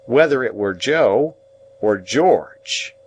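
A man playing a simple-hearted, kindly working man who speaks in a halting, deliberate, rustic way in an audiobook. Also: mid-range voice, sing-song, voice pushed up, rough recording. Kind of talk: audiobook character voice.

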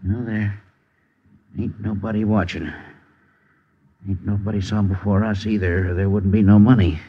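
A man's voice: fairly low pitch, little pitch movement, normal speed, slightly rough. A man playing a timid, greedy, henpecked husband who speaks hesitantly in folksy American English in a 1950s radio drama. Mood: furtive, shaken. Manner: hesitant, stammering, folksy ungrammatical speech. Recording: muffled old radio recording.

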